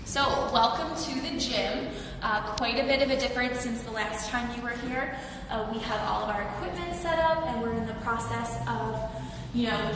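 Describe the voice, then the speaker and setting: A young woman with a slightly raspy voice from a cold, giving a friendly, chatty live tour of an apartment building. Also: a little high, noisy background. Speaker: leasing tour host. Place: echoey empty indoor rooms. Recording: echoey room from far off.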